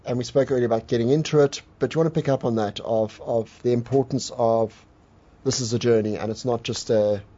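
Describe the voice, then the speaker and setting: A man's voice: medium pitch, fairly even intonation, brisk, warm tone, dull audio. A man hosting a radio show, talking to listeners and asking long, rambling questions. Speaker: radio host. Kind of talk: radio interview.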